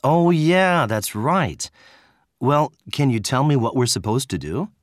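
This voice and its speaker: Man reading lines in a textbook listening dialogue, bouncy pitch, dry sound. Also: expressive, unhurried, dry recording. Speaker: student in scripted dialogue.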